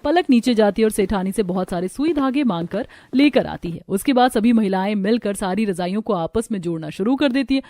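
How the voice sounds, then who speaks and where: A woman reading a scripted story as the narrator of an animated cartoon. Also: mid-range voice, a little fast, few pauses, no echo.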